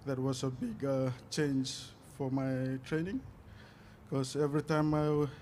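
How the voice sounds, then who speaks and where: A man answers interview questions as an athlete at a live event. He speaks slowly and haltingly, with frequent hesitations and little movement in pitch. There is faint noise in the background. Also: flat delivery, darkish tone. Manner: hesitant, halting with many long pauses. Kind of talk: unscripted on-stage interview answers.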